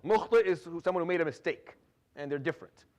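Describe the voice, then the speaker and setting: A man in his thirties who grew up in Syria delivers a relaxed religious lecture at a brisk clip. His voice sits a little high, and he restarts phrases often, dropping Arabic fillers between English sentences and reciting verses rapidly. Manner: conversational, frequent restarts and repeated words, drops Arabic fillers; recites Quranic Arabic quickly. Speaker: imam giving Quran commentary.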